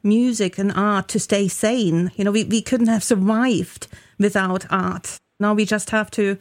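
A woman interviewer with a non-British accent, asking thoughtful, measured questions. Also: mid-range voice, steady tone. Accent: non-British, European. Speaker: interviewer host.

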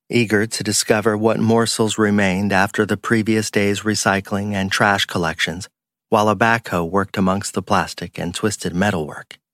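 A man's voice: lowish voice, fairly bright tone, dry recording, studio quality. A man reading scripted crime fiction as an audiobook narrator.

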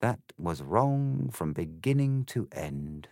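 A man's voice: mid-range voice, huge pitch swings, measured pace, darkish tone, no room sound, studio quality, voice pushed up. A man voicing a bored male storybook caterpillar in a languid, sleepy, unimpressed drawl.

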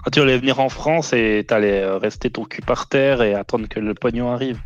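A man's voice: mid-range voice, a little fast, no room sound, highs cut off. A man on a live-stream panel, sarcastic and mocking. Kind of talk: casual live-stream debate.

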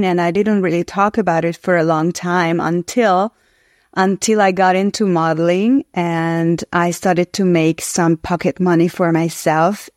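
A woman's voice: lowish voice, a little mellow, no room sound, studio quality. A woman in her forties from Hungary, a native Hungarian speaker with a Hungarian accent, telling her story earnestly and reflectively in an interview. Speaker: guest actress.

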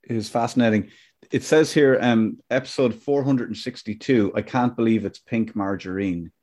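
A man with a medium-pitched voice hosts a casual podcast. He speaks conversationally, whether reacting to his companion or reading a short passage aloud. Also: moderate pace, a little mellow. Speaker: podcast host.

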